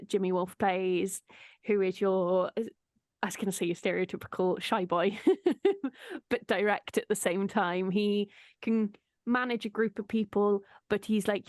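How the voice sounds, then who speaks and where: A woman hosts a loose, friendly-format chat in a middling pitch. She is informal and giggly, and swears freely. The sound is very dry, with hardly any room on it. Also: darkish tone, dry recording. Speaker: host of an audio drama behind-the-scenes chat. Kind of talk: casual chat.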